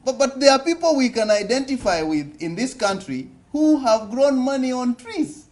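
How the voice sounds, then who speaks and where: A man's voice: extremely high pitch, very animated, moderate pace, darkish tone. A Kenyan man giving an interactive seminar talk at a business forum, often asking the audience questions and repeating his points. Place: conference hall.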